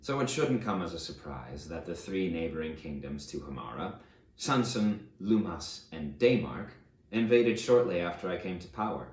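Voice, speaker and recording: Male reader as a defiant conqueror-king, low voice, declamatory, self-justifying storybook memoir, echoey room. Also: animated, normal speed. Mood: defiant, grim. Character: proud, defiant conqueror ruler recounting his rise. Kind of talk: dramatic first-person audiobook narration. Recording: roomy, echoey sound.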